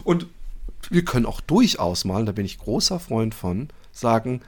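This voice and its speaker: A man's voice: on the low side, sing-song, normal speed. A man hosting a casual podcast chat, talking in a teasing, joking and self-mocking way. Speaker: podcast host.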